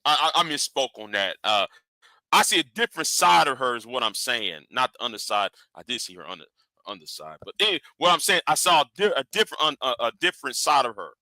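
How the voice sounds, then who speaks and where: Stammering man in his forties, bright voice. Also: medium pitch, very animated, fairly quick, no echo. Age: 40s. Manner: rambling, stammering repeats. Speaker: live stream host. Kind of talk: casual commentary.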